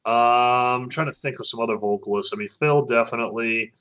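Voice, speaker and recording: A man, a metal band's vocalist, chatting casually as an interview guest, often halting and restarting his sentences. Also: fairly bright tone, lo-fi sound. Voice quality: naturally low voice. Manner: hesitant, many restarts and fillers.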